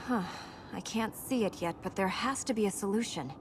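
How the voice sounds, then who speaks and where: A woman playing an earnest, dutiful young princess in a video game, matter-of-fact with a dry, gently teasing wit. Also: medium pitch, normal speed, natural voice. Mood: determined, dry. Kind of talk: video game dialogue acting. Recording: noisy game ambience behind voice.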